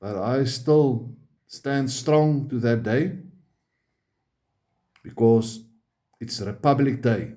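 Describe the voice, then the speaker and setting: Afrikaans guy vlogging to his viewers, slow and halting, mellow voice. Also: medium pitch. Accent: Afrikaans South African. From South Africa. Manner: halting and emphatic, repeats words. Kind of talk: personal monologue to viewers.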